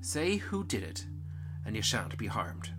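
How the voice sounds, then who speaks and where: A man voicing a lovesick, imperious young gentleman in a storybook, with music behind. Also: on the low side, big pitch swings, a little slow. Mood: demanding. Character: lovesick, commanding young gentleman, master's son. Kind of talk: animated storybook reading.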